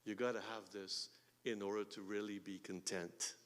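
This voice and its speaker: A man with a Guyanese accent preaching a sermon as pastor, swinging between quiet asides and loud emphatic bursts. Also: fairly low pitch, fairly even intonation, normal speed. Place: church sanctuary. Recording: long hall reverb.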